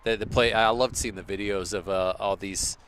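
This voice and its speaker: A man's voice: on the low side, steady tone, moderate pace, a bit bright, slight background noise. A man giving casual, rambling commentary on a school soccer match, restarting sentences as he talks. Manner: rambling, self-correcting, chatty. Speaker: play-by-play commentator.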